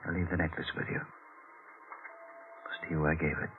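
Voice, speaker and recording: Weary older man: bass, resigned, grave. Old radio horror play, narrow tinny sound. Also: little pitch movement, normal speed. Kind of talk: 1940s radio horror acting. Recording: thin old radio recording, music behind.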